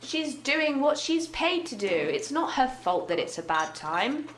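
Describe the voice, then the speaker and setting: A woman's voice: sits high, big pitch swings, unhurried, fairly bright tone, exaggerated voice. A woman playing a compassionate young daughter of a well-off family, earnest and inquisitive, in an amateur radio drama. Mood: calm, reasoning.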